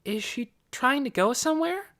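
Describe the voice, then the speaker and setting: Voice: high voice, animated, normal speed, fairly bright tone, pitched above the performer's own voice. A narrator voicing an eager young girl in an audiobook, earnest, polite and full of questions. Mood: curious. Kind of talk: audiobook character voice.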